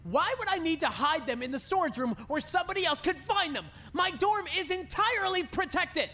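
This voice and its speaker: A woman playing a haughty, theatrical young woman who speaks with dramatic, drawn-out outrage. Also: very high voice, animated, bright, forceful tone, slight background noise, heavily acted. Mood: haughty explaining. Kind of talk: animated murder-mystery voice acting.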